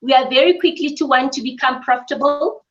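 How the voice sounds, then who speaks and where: High-voiced woman, earnest and measured. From South Africa. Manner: measured, earnest, with frequent ums. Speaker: spa business owner. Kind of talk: interview answers. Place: her mother's home. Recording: dull lo-fi audio.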